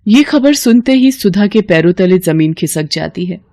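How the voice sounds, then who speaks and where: A woman's voice: medium pitch, lively intonation, normal speed, mellow, dry recording. Woman narrating a moral story, dry sound.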